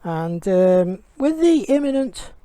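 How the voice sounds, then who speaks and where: A man with a croaky voice, rambling casually with lots of ums as he reviews a motorcycle while riding outdoors. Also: high-pitched, sing-song, slow pace, warm tone, dry recording. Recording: road and engine noise.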